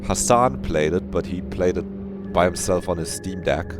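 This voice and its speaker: Low-voiced man streaming a game, rambling casually. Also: a little slow, over music. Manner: rambling, joking, profane. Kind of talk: casual live-stream chat while reading a visual novel aloud.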